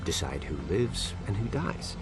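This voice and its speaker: A smug, coolly lecturing villain scientist from a game. His voice is very deep but swings widely in pitch. Loud game music plays under him. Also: big pitch swings, unhurried. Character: calm villain scientist who made a killer virus. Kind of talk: game cutscene voice acting.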